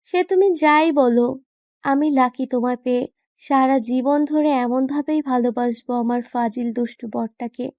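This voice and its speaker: A woman's voice: sits high, a little slow, darkish tone, no room sound, clean studio sound. A woman voicing an affectionate, playful young wife in a romantic audio drama, doting and teasing. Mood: loving, teasing.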